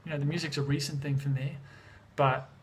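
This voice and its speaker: A young Australian man from Sydney, a bit hoarse from being sick, talking casually to the camera in a relaxed, chatty way with lots of fillers and restarts. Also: a little high, brisk. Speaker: music producer vlogger. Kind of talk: casual vlog talk to camera. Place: home in the mountains, moving between outdoors and indoors.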